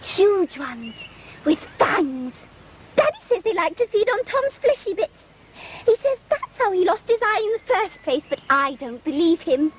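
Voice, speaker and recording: An eerie posh little girl, prattles in a high voice with sweet, matter-of-fact calm. The delivery is unhurried and lilting, in a macabre audio drama manner. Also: a little hiss or hum, heavily acted. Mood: sweetly macabre. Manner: sweetly matter-of-fact about gruesome things.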